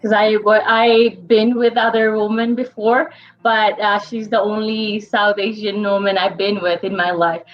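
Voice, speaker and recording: A young woman from Bangladesh telling her story with hesitant, halting phrasing. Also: mid-range voice, flat delivery, faint music behind. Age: young adult. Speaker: interview guest. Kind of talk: casual personal storytelling. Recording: dull lo-fi audio.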